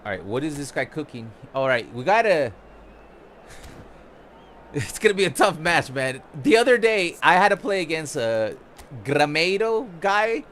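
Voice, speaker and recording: A man's voice: a little high, big pitch swings, a little slow, a bit bright, a little hiss or hum. A young man from Costa Rica, a gaming streamer giving casual live commentary and tips while he plays. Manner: casual and chatty, coaching the viewers. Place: home-style room, not his own home.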